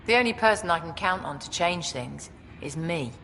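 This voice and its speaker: Tough female gang leader from a game, lowish voice, curt and threatening, big echoey reverb. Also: lively intonation, normal speed, creaky, pushed and bright, slight background noise, full character voice. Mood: bitter, proud. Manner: blunt, menacing, curt. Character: hardened, short-tempered female outlaw leader, ex-security officer. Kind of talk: video game cutscene acting.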